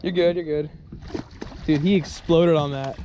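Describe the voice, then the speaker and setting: Excitable young man on a fishing boat. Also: fairly high pitch, a little slow, dull audio. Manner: hyped, shouting during the fight. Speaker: fishing vlog host. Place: small boat on open water outdoors. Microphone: action camera on boat. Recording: loud wind and water noise.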